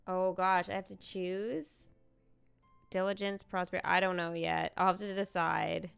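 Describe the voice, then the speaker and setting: Chatty woman streamer with deep-set, smooth voice. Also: moderate pace. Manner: excitable, chatty, thinks aloud. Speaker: livestream host, gamer. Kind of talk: casual live game stream chat.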